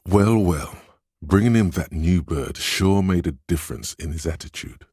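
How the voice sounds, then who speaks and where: A man voicing a suave, insinuating inner voice of persuasion in a video game, narrating in a measured, knowing, gently coaxing way. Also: no room sound, clean studio sound. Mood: sympathetic, measured. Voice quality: very deep, husky. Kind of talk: scripted video game narration in second person.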